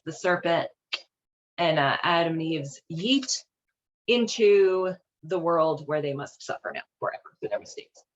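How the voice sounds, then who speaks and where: A woman's voice: fairly low pitch, dull audio. A woman hosting a casual read-along and commentary, speaking in an informal, wry, irreverent way. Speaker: host reading and commenting on a children's Bible.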